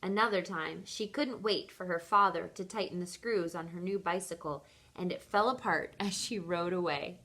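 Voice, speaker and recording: A woman reading a children's picture book aloud like a teacher, with expressive, deliberate storytelling emphasis. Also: fairly low pitch.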